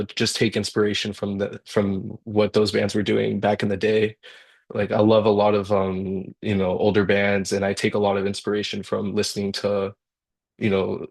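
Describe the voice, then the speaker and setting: A young man from Colombia, a guitarist and songwriter, talking casually in a workshop over a video call and pausing often with fillers and restarts. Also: lowish voice, creaky. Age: young adult. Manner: halting, many fillers and restarts.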